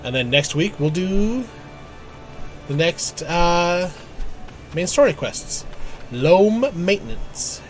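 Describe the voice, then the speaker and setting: A man's voice: sits high, lively intonation, takes it slowly, long pauses. Man casually commentating a game over music. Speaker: game streamer playing and commenting. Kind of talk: casual live gameplay commentary, reading on-screen text aloud. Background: video game music.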